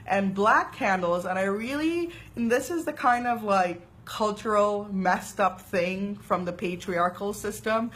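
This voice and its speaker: A woman's voice: mid-range voice, measured pace, few pauses. Chatty woman giving a spiritual talk. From Trinidad and Tobago. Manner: chatty, animated, frequent uh fillers and "right?" asides. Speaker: spiritual teacher. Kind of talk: casual spiritual talk to camera.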